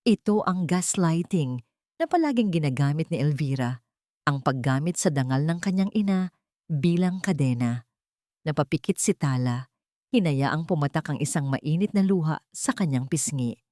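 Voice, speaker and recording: A woman's voice: low voice, animated, moderate pace, mellow, no echo, clean studio sound. A woman with a Filipino accent reading a scripted dramatic story as an audiobook narrator.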